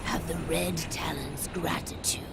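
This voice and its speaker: A woman playing a grave, remorseful werewolf pack leader in a video game, speaking formally in a breathy, whispery, gravelly voice. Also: low-pitched, steady tone, noisy background, exaggerated voice. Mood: remorseful, weary. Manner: slow, solemn, formal. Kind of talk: video game cutscene acting. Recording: music under the voice.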